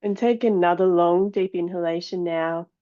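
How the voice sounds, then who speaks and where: A woman yoga teacher giving guided meditation and yoga instruction in a calm, measured, soothing way. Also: lowish voice, muffled recording.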